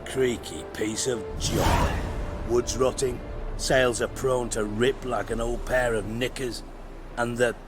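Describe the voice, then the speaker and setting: A man's voice: mid-range voice, steady tone, takes it slowly, music in the background, lightly acted. A man playing a cynical, crude-tongued older spymaster in a video game cutscene, blunt and theatrical in delivery. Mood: gruff grumbling.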